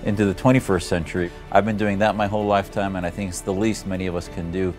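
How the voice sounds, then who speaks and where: Deep-voiced man in an interview. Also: level tone, fast talker, music in the background. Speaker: reformist activist interviewee. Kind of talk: documentary interview.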